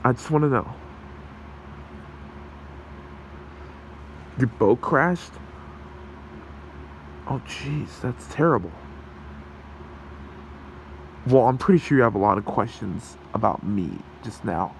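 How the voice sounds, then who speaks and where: A man playing a gentle, protective fox shapeshifter in human form in an ASMR roleplay, hesitant and soothing in his manner. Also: mid-range voice, expressive, normal speed, slight background noise, close to an everyday voice, low-quality recording. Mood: reassuring, curious.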